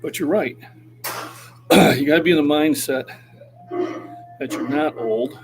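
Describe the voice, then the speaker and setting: A man's voice: a little high, measured pace, gravelly, faint music behind, rough recording. A man in his sixties, a fitness coach talking casually on a livestream and urging viewers to keep active. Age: 60s. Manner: encouraging, motivational.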